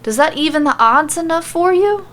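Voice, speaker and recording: Woman as an eager, high-pitched male bard. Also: normal speed. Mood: excited. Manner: eager, excited. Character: excitable male bard brokering fights.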